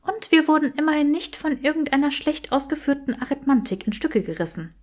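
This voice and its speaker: A brainy young witch in a fantasy audiobook, voiced by a woman reader. She speaks high, in a precise and matter-of-fact way, choosing her words articulately. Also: expressive, a little fast, a little mellow. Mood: matter-of-fact, tired.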